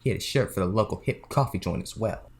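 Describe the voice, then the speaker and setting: A man's voice: on the low side, fast, lightly acted. A man playing a sly, touchy back-room card dealer in a comedic audio play, with a swaggering, theatrical and demanding manner.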